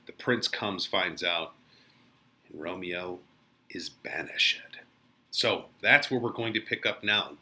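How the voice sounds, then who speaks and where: A man's voice: medium pitch, bright, forceful tone. A man working as an English teacher, retelling a play in a colloquial, theatrical way. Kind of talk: lesson commentary, casual retelling of a play.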